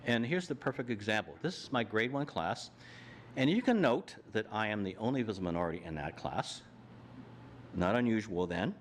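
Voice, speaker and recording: A man's voice: on the low side, expressive, a little fast, slight background noise. An older man giving a plainspoken personal talk on a panel, walking through his slides with frequent restarts. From Canada. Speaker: panel speaker. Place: hall with audience.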